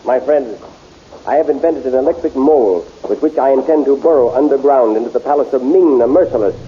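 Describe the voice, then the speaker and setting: An actor playing a stern, proud exiled prince in a 1930s radio serial, with commanding, formal speech, in a dull lo-fi old recording. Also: male voice, medium pitch, a little fast, a little hiss or hum, natural voice.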